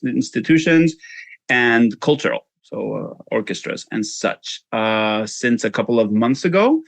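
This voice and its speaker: A man's voice: medium pitch, fairly bright tone, dry recording. A man from Spain with a Spanish accent, answering interview questions in an informal, self-deprecating way. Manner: informal, self-deprecating, frequent "you know". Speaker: interview guest, fundraising consultant.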